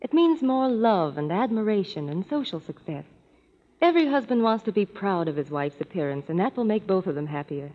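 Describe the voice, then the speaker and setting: A woman's voice: mid-range voice, big pitch swings, fairly quick. A chirpy commercial spokeswoman. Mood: persuasive. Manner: bright, persuasive sales chat. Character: cheerful woman in a radio soap commercial. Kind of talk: 1930s radio commercial. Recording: dull old radio broadcast, hall reverb.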